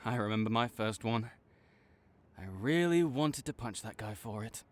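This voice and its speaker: A man's voice: medium pitch, animated, normal speed, lightly acted. A man acting a cocky, teasing but secretly caring supervillain rival in a dramatic audio roleplay, bantering and exasperated. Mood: grudgingly concerned. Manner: bantering, exasperated, faltering into awkward concern.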